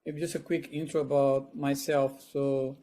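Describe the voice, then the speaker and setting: A man's voice: medium pitch, level tone, unhurried, dark tone. A man with a strong Brazilian accent, introducing himself informally on a webinar, often repeating words and restarting phrases. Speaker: webinar presenter, product executive.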